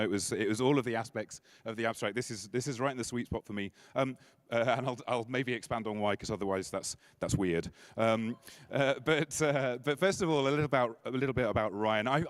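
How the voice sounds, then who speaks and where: Male MC, lower voice, dry close stage mic, loose joking intro with plenty of ums. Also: dry recording. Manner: chatty and self-deprecating, with many fillers and restarts. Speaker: conference MC. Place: conference stage.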